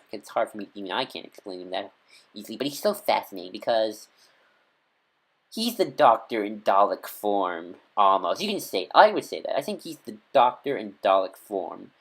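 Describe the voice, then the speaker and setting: A man's voice: on the low side, creaky. Guy rambling about stuff he loves, tons of ums and restarts, super enthusiastic, casual vlog chat. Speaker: fan reviewer. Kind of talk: casual fan talk to camera.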